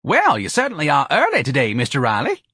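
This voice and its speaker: A man's voice: a little high, wildly swooping pitch, quick pace, sharp tone, husky, dry recording, studio quality, voice pushed up, heavily acted. A man voicing a timid, deferential male office manager in an audiobook, meek and hesitant. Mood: meek, flustered.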